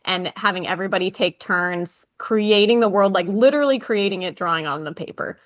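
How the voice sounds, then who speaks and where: A woman's voice: medium pitch, brisk, muffled recording. A woman hosting a casual interview in an enthusiastic, affirming way. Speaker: podcast host, interviewer.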